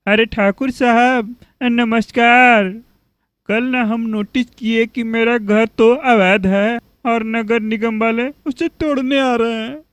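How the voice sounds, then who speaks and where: A man's voice: extremely high pitch, fairly even intonation, slow, a bit bright, clean tone, no echo, exaggerated voice. A man playing a worried, pleading townsman asking for help in a cartoon. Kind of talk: Hindi cartoon voice acting.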